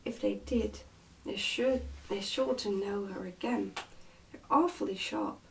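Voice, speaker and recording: A woman's voice: mid-range voice, unhurried, gravelly, distant mic, slight background noise, rough recording. A woman voicing a plain-spoken, confident young miner boy in a storybook, frank and helpful. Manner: frank, matter-of-fact.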